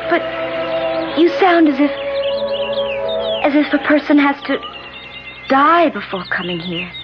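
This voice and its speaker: An actress playing a frail, dying young woman in a 1970s radio drama, halting, breathy and emotional. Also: sits high, very animated. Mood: hesitant. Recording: muffled old radio broadcast with music.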